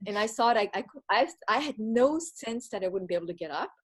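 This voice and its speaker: A woman who grew up speaking Bavarian tells a personal story in English. She speaks at a middle pitch, reflective and earnest, often circling back and restarting her sentences. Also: animated, fast, husky. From Bavaria, Germany. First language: Bavarian German. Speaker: retreat participant giving a testimonial.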